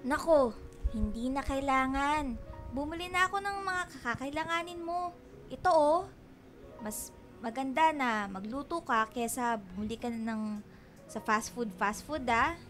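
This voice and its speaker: A middle-aged mother fusses in a high voice over faint music. Her delivery is concerned and nagging, in radio drama style. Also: animated, a little slow, pauses often, a bit bright. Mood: motherly nagging. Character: fussing, worried middle-aged Filipino mother. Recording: music bed behind the voice.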